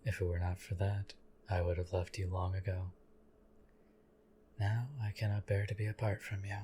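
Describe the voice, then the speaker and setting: A stoic, devoted knight acted by a man speaks in a low, even monotone. His delivery is formal, measured and deadpan, as in an intimate audio drama addressed to his liege. Noticeable background noise sits behind the voice. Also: lightly acted. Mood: stoic flattery. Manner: deadpan, formal, measured, archaic courtly phrasing. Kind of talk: scripted audio roleplay addressed to the listener.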